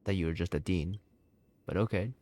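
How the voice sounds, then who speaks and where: A man's voice: low voice, fairly quick, a little mellow. A man giving casual, off-the-cuff reaction commentary as a gaming video host, with game music behind him.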